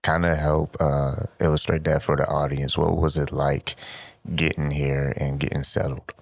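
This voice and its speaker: A man's voice: extremely low pitch, flat delivery, normal speed, gravelly, dry recording. A man hosting a radio interview show, chatting with a guest in a laid-back, easygoing way. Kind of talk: casual interview chat.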